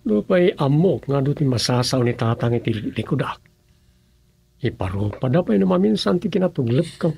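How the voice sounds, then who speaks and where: A man's voice: mid-range voice, big pitch swings, normal speed, gravelly, mellow, husky, no echo, full character voice. A man playing a grumbling family man in a radio drama comedy, with an Ilocano accent.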